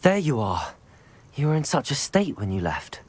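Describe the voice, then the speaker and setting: A woman voicing a composed, supportive teenage witch in a video game, with a measured, polite, dry way of talking. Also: very deep voice, big pitch swings, a little mellow, slight background noise. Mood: concerned. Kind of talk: cinematic game dialogue acting. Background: background music.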